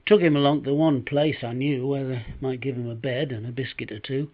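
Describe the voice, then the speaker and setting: An elderly man reciting a rhyming monologue as a humble, tender-hearted former down-and-out, plaintive and measured, with dramatic pauses. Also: medium pitch, fairly even intonation, narrow, tinny audio, close to an everyday voice. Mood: tender, sorrowful. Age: 70s or older.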